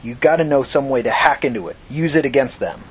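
A man's voice: medium pitch, a little fast, husky, natural voice, rough recording. A man voicing a vengeful, foul-mouthed young woman who threatens and commands in short emphatic bursts in an audiobook story. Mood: threatening, insistent.

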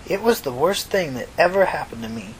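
A man's voice: high voice, expressive, a little fast, slight background noise, pitched above the performer's own voice, rough recording. A man voicing a kindly, talkative old mother from New York in a story reading, folksy, reflective and reassuring. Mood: sad, reflective. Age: older.

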